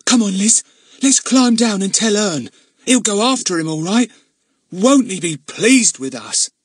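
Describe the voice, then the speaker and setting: An excitable young girl in a storybook reading, eager and breathless, with her voice pushed far up, as a man puts her on. Her pitch jumps about, and the sound is dry and close. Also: a little slow, slightly rough, no room sound, exaggerated voice.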